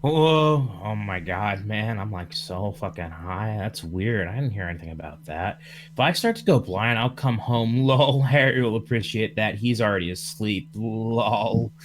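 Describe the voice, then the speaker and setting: A man's voice: fairly low pitch, measured pace, slight background noise, close to an everyday voice. Man playing a lazy, stoned young woman. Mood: stoned, dreamy. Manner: drawling, lazy, casual. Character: stoned, giggly, laid-back female friend. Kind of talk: amateur radio drama read-through.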